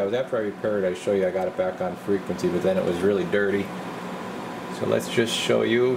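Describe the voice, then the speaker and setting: A man working as a repair technician gives a casual hands-on walkthrough, halting and thinking aloud as he goes. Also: on the low side, gravelly, background noise. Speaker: radio repair technician presenting his work. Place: indoor electronics workbench.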